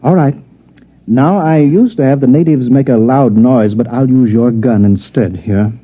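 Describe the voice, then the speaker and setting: A man's voice: mid-range voice, moderate pace, close to an everyday voice. An actor playing a genial, talkative counterfeiter in a 1950s radio science fiction drama, with an urbane, showman's manner. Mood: confident showmanship. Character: genial, talkative male counterfeiter living among aliens. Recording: dull, muffled vintage radio recording.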